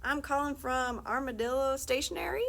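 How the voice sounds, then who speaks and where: A woman's voice: high voice, normal speed, creaky, a bit bright, a little hiss or hum, pitched above the performer's own voice. A woman voicing a cheery male phone salesman from Texas in a put-on Texan accent, folksy and overly friendly.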